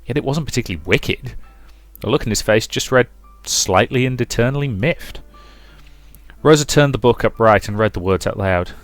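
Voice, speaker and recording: A man's voice: medium pitch, moderate pace, dry recording. A man reading a visual novel's story narration aloud as a let's play narrator. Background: music.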